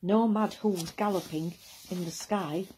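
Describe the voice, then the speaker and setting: Woman reciting poetry, soft mellow tone. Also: lowish voice, a little slow, a little hiss or hum, poor audio. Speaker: poetry reader.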